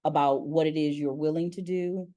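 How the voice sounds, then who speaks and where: An American woman with a low-pitched voice hosts a conversational interview. She speaks with emphasis and restates key phrases to drive them home. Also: fairly even intonation, normal speed, warm tone. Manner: emphatic, repeats phrases for effect. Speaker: interviewer and voice-acting community leader.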